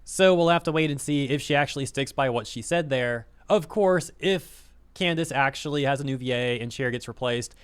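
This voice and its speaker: A man rants in casual commentary, scornful and full of fillers, with his words tumbling out in quick bursts. His voice is slightly hoarse, as if he is losing it. Underneath, the tone stays smooth and resonant. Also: medium pitch. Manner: scornful, filler-heavy, talks fast in bursts. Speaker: vtuber commentator.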